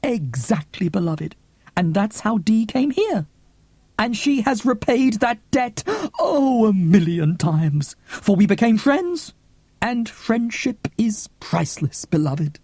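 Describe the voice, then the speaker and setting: Audiobook grande dame, elderly, gushing and romantic, high and husky played by a man. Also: very animated, a little mellow, no echo, full character voice. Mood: fond, grand. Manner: gushing, drawn-out, grandly affectionate.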